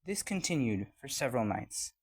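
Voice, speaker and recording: A man reading a scripted fairy tale as a storyteller, with an expressive storybook delivery. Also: medium pitch, moderate pace, slightly rough.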